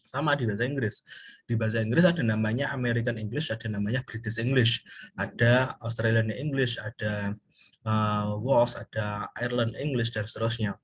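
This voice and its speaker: A man teaches a recorded university class. His pitch sits in a middle range, and he explains informally, hesitating often as he looks for the next word. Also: steady tone, husky. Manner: halting, searching for words, with frequent hesitations. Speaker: university English lecturer.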